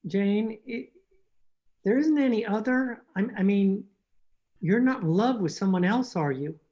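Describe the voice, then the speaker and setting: A woman playing an awkward, stuffy young male suitor, formal and halting, in old-time radio drama style, on muffled call audio. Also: mid-range voice, expressive, warm tone, natural voice. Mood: crestfallen, flustered. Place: at home, recorded over a video call.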